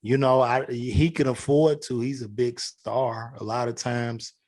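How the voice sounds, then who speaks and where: A man's voice: mid-range voice, steady tone, a little slow, a little mellow. A man from Chicago's South Side with a Chicago accent, a guest comedian chatting on a podcast in a loose, profane way full of fillers. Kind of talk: casual podcast chat.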